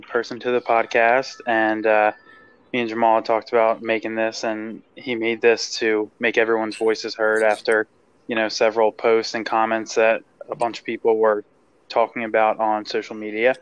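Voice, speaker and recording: A man from Pennsylvania, a returning podcast guest, speaking hesitantly with many pauses. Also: lowish voice, completely flat delivery, smooth, lo-fi sound. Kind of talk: casual podcast chat.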